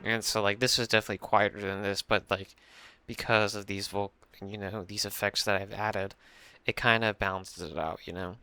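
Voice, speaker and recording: Young guy, low gravelly voice, bright edge, dry close desk mic, rambly tutorial talk. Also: fairly even intonation, moderate pace, few pauses, no echo. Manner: rambling, many fillers and restarts. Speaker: music producer explaining a mix. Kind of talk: casual screen-share tutorial. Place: small room at a computer.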